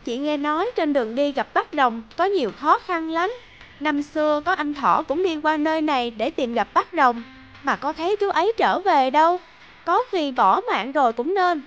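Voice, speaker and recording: Elder-sister butterfly, high and gentle, cautioning, cartoon folk tale, dullish recording. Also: female voice, lively intonation, normal speed, lo-fi sound. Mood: concerned.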